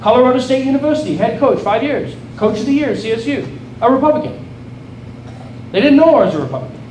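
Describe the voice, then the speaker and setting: A man's voice: very high voice, lively intonation, fast, a little mellow. South African man rallying an echoey hall. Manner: earnest, rallying, repeats and restarts phrases. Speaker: state legislator giving a speech. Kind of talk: political speech to a crowd. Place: event hall with an audience. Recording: echoey hall, voice from far off, noisy.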